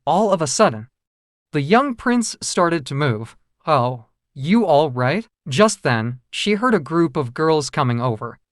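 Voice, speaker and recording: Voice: medium pitch, very animated, unhurried, no room sound, studio quality. A storyteller narrating a children's fairy tale from a script.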